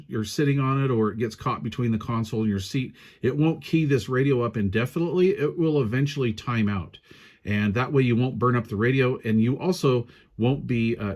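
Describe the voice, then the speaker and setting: A man with a medium-pitched voice gives a casual product walkthrough. He explains things step by step in plain, informal language. His delivery is a little hesitant, as in an unscripted hands-on review. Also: a little mellow. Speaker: radio gear reviewer.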